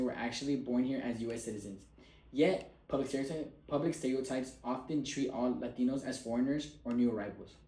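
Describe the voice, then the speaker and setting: A man's voice: mid-range voice, mellow, echoey room, low-quality recording. A man delivering a prepared persuasive speech as a student, in an earnest and emphatic way.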